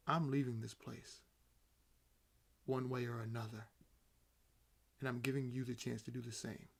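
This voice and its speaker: Ancient male vampire, acted by a man, unhurried and even-toned, nonchalant, condescendingly amused, for roleplay audio. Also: mid-range voice. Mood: calm warning. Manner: unhurried, wry, theatrical pauses. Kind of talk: roleplay audio acting, addressing the listener.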